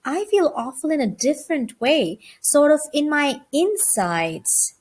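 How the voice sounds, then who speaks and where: A woman's voice: high-pitched, very animated, unhurried, a little mellow, pitched above the performer's own voice. A woman voicing an unwell, kindly young boy in a children's storybook, hesitant and plain-spoken. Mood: feeling awful. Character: listless, unwell young boy, kind and apologetic. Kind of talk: children's audiobook character reading.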